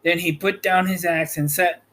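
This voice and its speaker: A man narrating a storybook aloud as host, in a measured, slightly halting read. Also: sits high, steady tone, a bit bright. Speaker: host reading an audiobook chapter.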